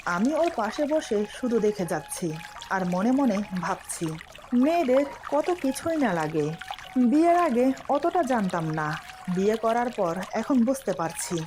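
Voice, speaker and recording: Female narrator for scripted romantic fiction, higher-pitched voice, some watery background noise. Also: very animated, measured pace, a little mellow. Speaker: story narrator.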